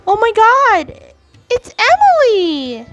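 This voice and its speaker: A woman giving casual playthrough commentary as a game streamer, halting and often repeating words. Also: extremely high pitch, huge pitch swings, dull audio. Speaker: gaming streamer. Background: faint game music.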